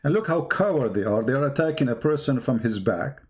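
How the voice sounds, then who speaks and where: A man's voice: medium pitch, animated, a little fast. A man who speaks English as a second language, giving impromptu polemical commentary in an emphatic, repetitive way with rhetorical questions. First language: not English. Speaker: online commentator.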